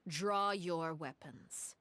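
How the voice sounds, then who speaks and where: A low female voice for a cold, commanding head of a house in a video game. Her speech is formal and measured, every line weighed with authority. There is faint noise behind it. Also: fairly bright tone. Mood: cold, resolute. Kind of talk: scripted video game voice acting.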